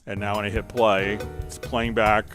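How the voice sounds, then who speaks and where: A man hosting a tutorial stream, casually talking through each step of a software demonstration as he works. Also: lowish voice, little pitch movement, moderate pace, music in the background. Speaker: host answering viewer questions on a software tutorial stream.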